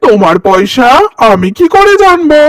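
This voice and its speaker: A woman's voice: on the high side, sing-song, normal speed, distant mic. A woman voicing a weary, defensive husband crow in a cartoon, sounding exasperated as he denies blame.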